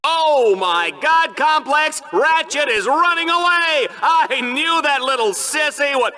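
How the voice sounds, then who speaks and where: Brash, high-pitched, hammy sportscaster. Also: male voice, lively intonation, takes it slowly, pushed and bright, full character voice. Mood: gleeful excitement. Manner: bombastic showman delivery. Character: brash, hammy male game-show sportscaster, gleefully bloodthirsty. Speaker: arena show commentator. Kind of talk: sports commentary in a video game.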